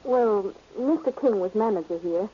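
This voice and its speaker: An earnest, polite woman witness in a vintage radio mystery. She speaks a little hesitantly at a medium pitch, through a dull old recording. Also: animated, normal speed, breathy edge. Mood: polite, uneasy. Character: earnest, polite female beauty parlor cashier and witness. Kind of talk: 1940s radio drama acting.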